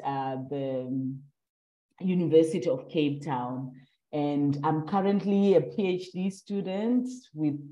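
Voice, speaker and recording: A woman's voice: low voice, warm tone, reverberant, dull audio. A young adult woman from Southern Africa, interviewing in a casual, hesitant way with many fillers.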